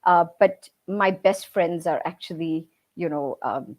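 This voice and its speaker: A woman's voice: fairly low pitch, measured pace, a little mellow. A Singaporean woman in her forties, a guest in a casual interview, talking easily with many "you know"s. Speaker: guest, restaurateur.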